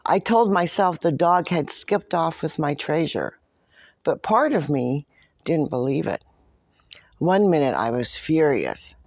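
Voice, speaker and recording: A woman reading a picture book in the first person as a smug, grumbling but soft-hearted leprechaun. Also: low-pitched, dry recording, exaggerated voice. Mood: anxious, sad. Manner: boastful, dramatic storytelling.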